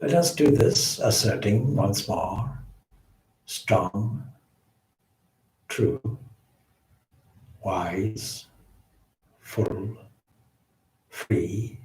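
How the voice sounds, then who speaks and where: A man leading a guided meditation as a swami, with measured, solemn phrasing and frequent pauses. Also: fairly low pitch, expressive, mellow, a little hiss or hum. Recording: roomy, echoing indoor sound.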